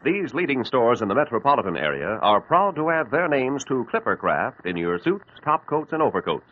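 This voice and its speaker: A man reading out a list of stores in a brisk, clipped announcing style. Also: medium pitch, sing-song. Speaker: radio announcer. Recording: tinny old radio broadcast.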